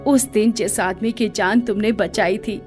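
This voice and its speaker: An actress playing a gracious, wealthy middle-aged aunt in a Hindi audio drama, speaking warmly and politely with English phrases mixed in. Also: female voice, medium pitch, fairly even intonation, a little slow, close to an everyday voice. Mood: warm, reassuring. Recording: music bed behind the voice.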